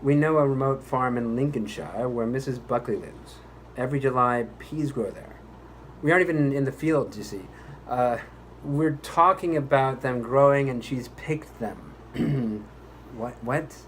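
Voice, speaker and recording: A man's voice: medium pitch, a little slow, a bit bright, lightly acted. Male actor as pompous commercial narrator, exasperated and condescending, mid-range voice, slight room noise. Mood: measured, questioning. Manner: grandiose, indignant, condescending. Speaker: voice-over actor. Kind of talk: re-enacted commercial recording outtake.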